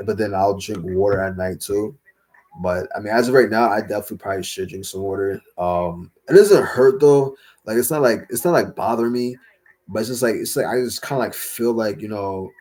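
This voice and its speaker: A young man hosting a casual livestream Q&A, rambling with lots of fillers and slang, his voice a little raspy from talking all day. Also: lowish voice, fairly quick, darkish tone. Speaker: livestream host answering chat.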